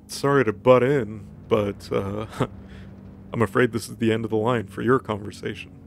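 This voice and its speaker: A man's voice: lowish voice, fairly even intonation, normal speed, vocal fry, slight background noise. A man voicing an insecure, jealous male god who mutters petulantly and self-importantly in a comic story recap. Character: insecure, jealous young male god trying to seem cool.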